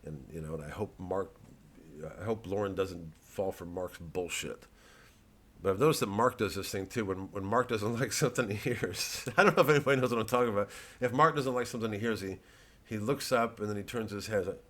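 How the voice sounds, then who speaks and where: A male comedian talks casually in a fairly low voice. He rambles from tangent to tangent, halting and restarting as he goes. Swearing is sprinkled freely through the monologue. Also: fairly bright tone. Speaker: comedian, host.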